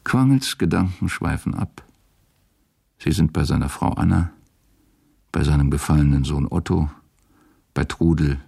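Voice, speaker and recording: A man narrating a literary radio drama in a measured, sober manner. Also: extremely low pitch, creaky, darkish tone, husky.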